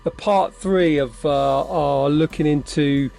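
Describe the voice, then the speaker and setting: A man's voice: a little high, slow, gravelly, darkish tone. A man co-hosting a casual podcast chat over a video call, wry and rambling. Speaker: podcast co-host.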